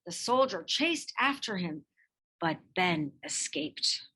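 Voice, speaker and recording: A woman reading a children's picture book biography aloud in expressive narration, with measured phrasing and dramatic emphasis. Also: lowish voice, breathy edge. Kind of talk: expressive scripted storybook narration.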